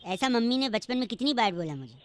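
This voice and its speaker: A man doing a goofy high-voiced prankster. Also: quick pace, very noisy. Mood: playful. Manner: silly, deadpan nonsense. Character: goofy naive young man Bauaa. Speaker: radio prank caller. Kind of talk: prank call as a childish character.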